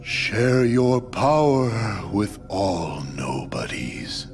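Deep, very slow, solemn villain leader. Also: male voice, music in the background. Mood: solemn, reverent. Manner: grave, incantatory, deliberate. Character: solemn, commanding cult-leader villain man, cold and grandiose. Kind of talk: video game cutscene acting.

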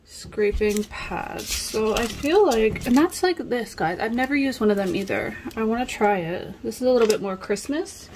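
A woman's voice: a little high, animated, moderate pace, hardly any pauses. A woman in her thirties chatting casually as a nail vlogger, rambling and questioning herself as she thinks aloud. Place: home nail studio room. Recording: clear background noise with clicks.

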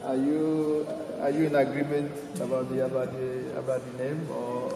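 A man's voice: medium pitch, flat delivery, normal speed, crowd noise. A middle-aged priest, a man addressing a congregation during a rite in a playfully formal, quizzing manner. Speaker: priest leading a baptism. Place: large stone church, indoors. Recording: very echoey church hall, distant mic, noise.

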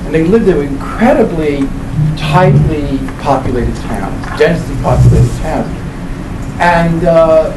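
Older man lecturing in a noisy, echoey room. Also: fairly high pitch. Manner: halting, discursive, with repeated phrases and self-corrections. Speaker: professor giving a book presentation. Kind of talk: informal lecture to a live audience. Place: indoor library event room. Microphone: camera mic a few meters away. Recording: roomy, distant mic with loud background noise.

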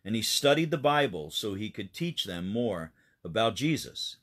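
A man narrating a children's story in an expressive, dramatic storytelling manner. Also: on the low side, normal speed. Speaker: pastor reading a children's story.

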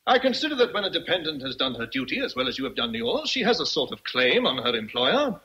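Casting: brooding British master of the house, bright forceful voice, slightly rough, theatrical period radio drama. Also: male voice, fairly high pitch, sing-song, full character voice, poor audio. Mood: mock brisk. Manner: teasing, theatrical, deliberate. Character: brooding, teasing gentleman master in love with his governess.

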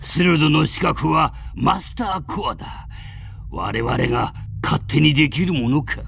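A man's voice: fairly high pitch, animated, measured pace, creaky, fairly bright tone, breathy edge, heavily acted. A man playing a scheming, defiant villainous retainer in a Japanese video game, with sneering, mock-deferential speech. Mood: scornful, defiant.

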